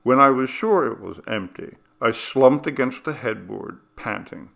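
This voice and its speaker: A man reading a ghost story aloud, in a slow, measured and grave way. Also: mid-range voice, expressive, vocal fry, fairly bright tone. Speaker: reader. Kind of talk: scripted ghost story narration.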